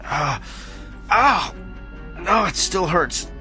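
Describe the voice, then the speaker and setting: A man's voice: high-pitched, very animated, extremely slow, sharp tone, faint music behind, exaggerated voice. A man acting in a radio drama, playing an arrogant, self-assured and brusque doctor. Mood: pained. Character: brilliant, arrogant, tactless male doctor. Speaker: actor.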